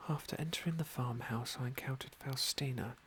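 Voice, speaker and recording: A man softly narrating a fantasy game as an ASMR roleplay, unhurried and with formal, genteel phrasing. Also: medium pitch, a little hiss or hum. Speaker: host of an ASMR game playthrough.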